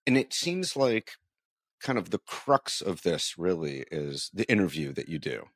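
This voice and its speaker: Low-voiced male podcast host. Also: sing-song, measured pace, fairly bright tone, no room sound, clean studio sound. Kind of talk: casual conversational interviewing.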